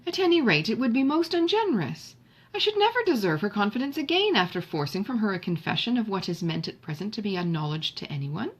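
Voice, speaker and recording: A woman's voice: medium pitch, slightly rough. A woman voicing an indulgent, romantic mother in a classic novel audiobook, earnest, emphatic and genteel in manner. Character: indulgent, romantic mother defending her daughter's privacy.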